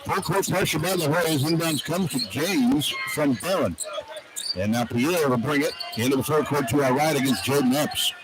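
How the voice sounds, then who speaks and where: A man's voice: fairly high pitch, normal speed, loud music behind the voice. A man calling live basketball play-by-play for radio from a large indoor arena. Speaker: play-by-play announcer. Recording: very noisy live arena broadcast. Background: loud arena crowd noise.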